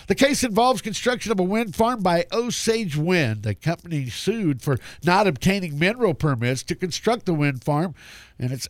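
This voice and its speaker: A man's voice: sits high, dry recording. A man hosting a radio talk show, reading news in a folksy, chatty way and stumbling now and then over words.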